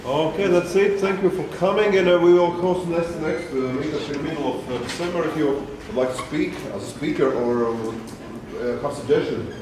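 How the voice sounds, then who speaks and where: A man hosting a meetup, calling for questions and announcements in a halting, repetitive way. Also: on the high side. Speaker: meetup host. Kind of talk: moderating announcements. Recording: echoey hall, distant mic, loud noise.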